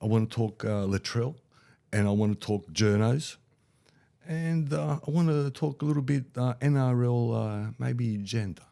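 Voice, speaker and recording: Rambling Aussie bloke with a head cold. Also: male voice, medium pitch, moderate pace, vocal fry. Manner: rambling, excitable, swears. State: head cold, a bit nasal. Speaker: podcast co-host. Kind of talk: casual sports chat.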